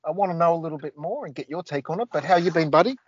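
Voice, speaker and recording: A man's voice: a little high, racing, husky, dry recording. Male podcast host, loose rambling delivery, dull lo-fi dry recording. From Australia. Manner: rambling, many fillers and restarts. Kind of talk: casual podcast chat.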